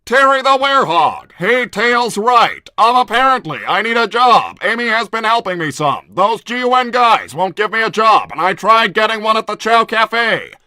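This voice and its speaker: A man reads messages aloud as a livestream co-host. His voice is high and bright, with a casual delivery. The sound is close and dry. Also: sing-song, moderate pace, few pauses, no room sound.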